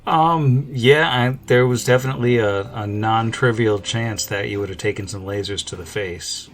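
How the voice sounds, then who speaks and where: A man's voice: on the low side, normal speed. A man chatting casually as the game master on a podcast panel. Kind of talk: casual chat.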